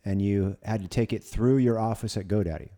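A man asking interview questions in a relaxed, casual podcast chat. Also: on the low side, fairly quick.

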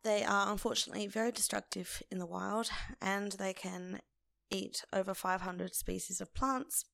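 A woman hosting a casual solo podcast in an informal, conversational way, with a croaky, hoarse voice. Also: mid-range voice, steady tone, moderate pace, a bit bright, no room sound. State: lingering hoarseness after laryngitis. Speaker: podcast host.

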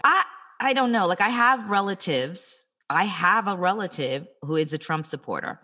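A woman co-hosting a podcast, speaking in a measured, thoughtful way in casual political chat. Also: fairly low pitch, animated, bright, forceful tone. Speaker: podcast co-host.

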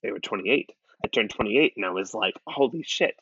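A man in his late twenties with a bright, forward voice, talking loosely and circling back on himself with lots of "like" and restarts. The sound is close and dry, a little dull in the highs. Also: animated, fairly quick, slightly rough, no room sound. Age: late 20s. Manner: rambling with many restarts and fillers, swears casually. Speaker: podcast co-host. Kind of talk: casual chat.

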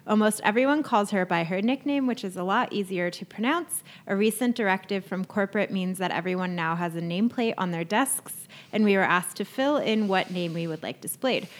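A woman's voice: medium pitch, a little fast, few pauses. Blunt young-sounding woman chatting. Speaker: podcast co-host. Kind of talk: casual chat, reading a listener letter.

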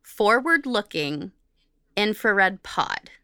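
Bright-voiced woman co-hosting a casual podcast. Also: medium pitch, big pitch swings, extremely slow, clean tone, no room sound, clean studio sound. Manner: informal, jokey, spells out and repeats technical terms. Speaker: podcast co-host. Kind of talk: casual podcast talk with reading from notes.

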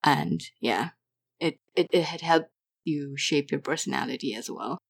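A young woman from Nepal talks easily as an interview guest, her low voice running on with "like" and small restarts. She speaks casually and openly. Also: animated, unhurried, creaky. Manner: chatty, many fillers and restarts.

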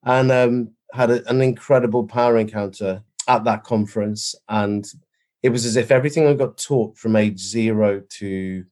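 A man in his forties with a slight Welsh accent, a former pastor, telling his story as a podcast guest in a self-deprecating, unhurried way. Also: fairly low pitch, steady tone, darkish tone. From Wales. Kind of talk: casual storytelling.

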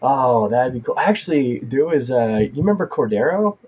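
A man's voice: medium pitch, big pitch swings, normal speed, a little mellow, a little hiss or hum. A man co-hosting a comic-book podcast in casual chat, rambling and giggling through jokey tangents. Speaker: podcast co-host.

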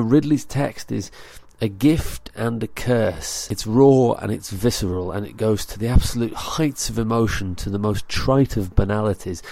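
Male podcast critic, low and gravelly, measured and earnest delivery of a written review, very dry sound. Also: mellow, no room sound. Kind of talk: scripted spoken review.

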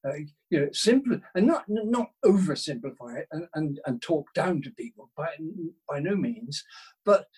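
Older male interview guest, higher-pitched with a husky grain, modest, hesitant and conversational. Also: very animated, moderate pace, darkish tone. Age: 60s or older. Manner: self-deprecating, hesitant, thoughtful, rephrasing as he goes.

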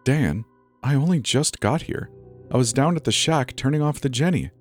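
Male narrator as soothing female manager in a spooky tale, softly spoken, higher register, light music behind. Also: lively intonation, normal speed, natural voice. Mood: surprised, matter-of-fact. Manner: soft, reassuring. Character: calm reassuring female camp manager from New Zealand. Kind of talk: dramatized horror story narration.

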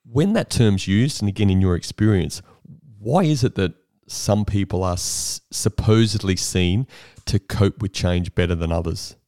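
A man's voice: sits low, normal speed, warm tone. A man hosting an interview, asking probing questions in a conversational way. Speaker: interviewer, host.

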